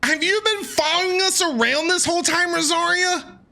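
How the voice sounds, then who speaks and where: A man's voice: extremely high pitch, fairly even intonation, moderate pace, noisy background. A man giving casual, playful live commentary as he streams a video game, reacting expressively.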